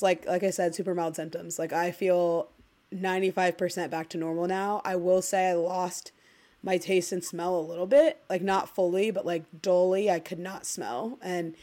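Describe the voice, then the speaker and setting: Chatty woman with low voice. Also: darkish tone. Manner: chatty, full of "like" fillers. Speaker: podcast co-host. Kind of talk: casual chat.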